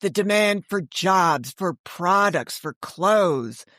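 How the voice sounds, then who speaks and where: A woman's voice: lowish voice, expressive, slow pace, a bit bright, no room sound, clean studio sound. A woman being interviewed as a guest, telling stories in a chatty, digressive way and voicing other people's remarks as she goes. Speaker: interview guest, author and storyteller. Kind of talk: animated anecdotal storytelling in casual conversation.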